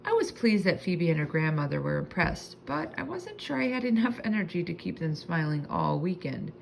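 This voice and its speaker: A woman reading a children's book aloud in an animated, expressive storytelling manner. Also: fairly low pitch, normal speed, vocal fry, a little hiss or hum, low-quality recording.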